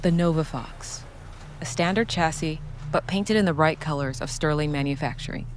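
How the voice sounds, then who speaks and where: A woman giving scripted, dramatic narration as a storyteller, solemn and measured in short phrases. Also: low-pitched, over music. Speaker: narrator and solo game player.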